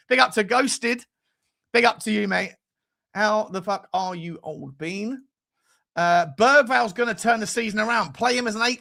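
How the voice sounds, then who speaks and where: A high-pitched British man in his forties with a bright, forceful and gravelly voice. He hosts a football fan livestream, rambling from one jokey aside to the next. His talk is casual, slangy and sweary, aimed straight at the people commenting. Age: 40s. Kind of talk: casual livestream chat, reading and answering comments.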